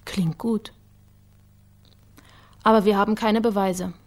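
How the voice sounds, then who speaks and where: Woman acting a methodical, medium-pitched female investigator. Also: fairly even intonation, takes it slowly, close to an everyday voice. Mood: analytical. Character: sharp, methodical woman investigator working for the prosecutor. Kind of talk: naturalistic German radio crime drama acting.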